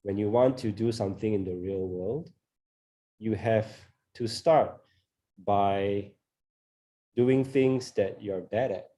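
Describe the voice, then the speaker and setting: A man from Singapore with a Singaporean accent, sharing his thoughts in a relaxed group conversation on a video call, often laughing and filling pauses. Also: fairly low pitch. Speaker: co-organiser of a discussion group.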